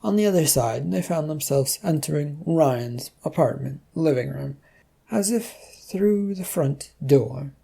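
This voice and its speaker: A narrator with a gravelly, husky voice reads a scripted story. The tone is mellow and the delivery is measured, like straightforward storytelling. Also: mid-range voice, animated.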